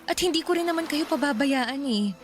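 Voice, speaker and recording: High-voiced, pleading young Filipina daughter. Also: female voice, expressive, brisk, soft background music. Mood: pleading, sad. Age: young adult. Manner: respectful, pleading. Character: grieving young adult daughter, respectful but pleading. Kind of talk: Tagalog radio drama acting. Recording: noticeable background ambience noise.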